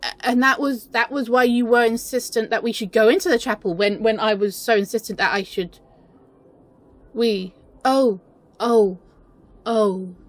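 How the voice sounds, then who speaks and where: A woman playing a flustered, smitten, playfully scheming best friend in an intimate romantic audio roleplay, speaking in halting, stammering bursts. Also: on the high side, steady tone, natural voice. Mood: dawning realisation.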